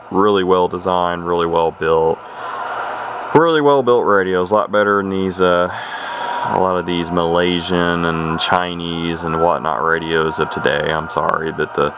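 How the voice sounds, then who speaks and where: A middle-aged man giving a casual, unscripted demonstration in a folksy, plainspoken, hesitant and rambling way. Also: sits low. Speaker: vintage radio hobbyist and demonstrator. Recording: noisy, with radio static behind. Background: CB radio noise from its speaker.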